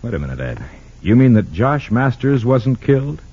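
An actor playing a wry, inquisitive, easygoing newspaperman with a husky voice in an old radio drama. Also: mid-range voice, sing-song, moderate pace, dark tone. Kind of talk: 1940s-50s radio drama acting. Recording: dull lo-fi old radio recording.

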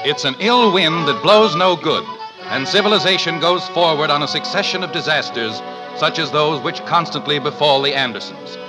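A man's voice: a little high, moderate pace, poor audio. An American man giving wry, scripted narration as a 1950s radio announcer. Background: music.

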